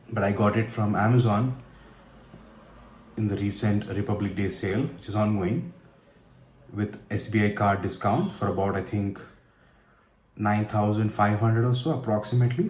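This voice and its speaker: A man with an Indian accent narrating an unboxing casually and unscripted, in halting phrases broken by pauses. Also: on the low side, steady tone, a little mellow. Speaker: tech and motorcycle vlogger. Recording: roomy echo with faint background noise.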